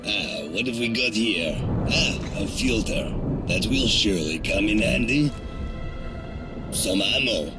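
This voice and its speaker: An actor playing a cynical, foul-mouthed smuggler guide in a post-apocalyptic video game, sardonic, blunt and bossy. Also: male voice, lowish voice, animated, takes it slowly. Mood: wry, watchful. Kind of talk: dramatic video game acting. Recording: loud music bed under voice.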